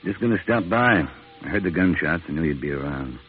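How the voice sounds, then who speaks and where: A calm, sombre priest with a very low voice and brisk, plain delivery. It is old radio drama, muffled, with faint music behind. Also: male voice. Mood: solemn. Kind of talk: 1950s radio drama acting.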